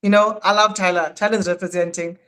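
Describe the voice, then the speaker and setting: A South African man in his thirties, a podcast guest in casual, animated chat who laughs often. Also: high voice, fairly quick. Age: 30s.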